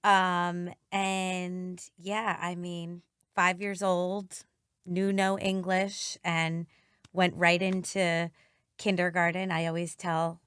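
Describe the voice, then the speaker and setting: Female guest from Argentina, unhurried and easygoing, smooth voice, dry close sound. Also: on the low side, flat delivery, a bit bright, dry recording. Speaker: guest, choreographer. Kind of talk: casual interview.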